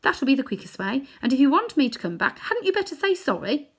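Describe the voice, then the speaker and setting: A woman reading aloud, voicing a prim, indignant young girl with a crisp, sarcastic way of talking. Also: high voice, very animated, brisk, pitched above the performer's own voice. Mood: cool sarcastic.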